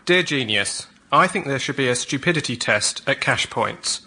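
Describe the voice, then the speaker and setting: A man, a member of the public pitching an idea on a comedy show. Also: lively intonation, normal speed, bright, forceful tone. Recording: live auditorium, slight background noise.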